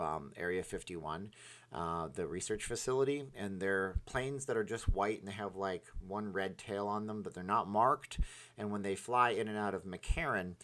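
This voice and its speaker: A talkative man with a slightly low voice hosts a casual livestream, reading out chat messages. He rambles and restarts his sentences, piling on fillers as he answers viewers. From part Ukrainian, Polish, Irish, Scottish heritage.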